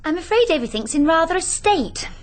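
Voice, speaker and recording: An actress playing a glamorous, party-loving stage star with an English accent, gushing and languid in a radio drama. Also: female voice, very high voice, sing-song, fast, slight background noise. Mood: breezy, gushing. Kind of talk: radio mystery drama acting.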